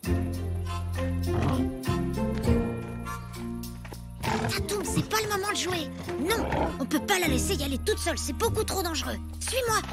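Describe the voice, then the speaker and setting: A woman voicing an eager, cheeky, affectionate little boy in an animated children's cartoon, chatty and excitable. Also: extremely high pitch, brisk. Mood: pleading, scheming. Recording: music behind the voice.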